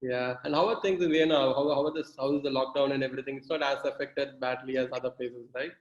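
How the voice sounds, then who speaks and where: A man's voice: mid-range voice, steady tone, fast talker. Hesitant male host introducing a guest, roomy echo, muffled video-call audio. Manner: hesitant, many "uh"s. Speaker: summit host. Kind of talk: introducing a speaker and interviewing.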